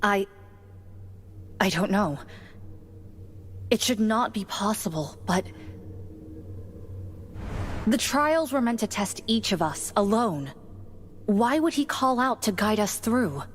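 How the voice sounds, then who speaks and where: An actress voicing a solemn, gentle mystic warrior, measured and earnest, in a dramatic game style. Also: female voice, medium pitch, lively intonation. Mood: troubled. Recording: long reverberant hall, faint ambient noise.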